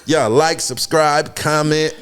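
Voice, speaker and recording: A man's voice: a little high, fairly even intonation, takes it slowly, fairly bright tone. A man in his fifties co-hosting a podcast from Los Angeles, chatting casually in laid-back slang and laughing often. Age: 50s. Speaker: podcast co-host. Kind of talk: casual chat.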